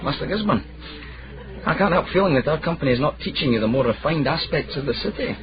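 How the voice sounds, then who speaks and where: A man's voice: fairly high pitch, lively intonation, quick pace, noisy background, lightly acted. Sardonic, florid drinking advocate played by a man. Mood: probing. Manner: wry, florid, declaiming. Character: sardonic, hard-drinking philosophising male advocate, theatrical and jovial. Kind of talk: radio drama acting. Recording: muffled radio recording, crowded tavern.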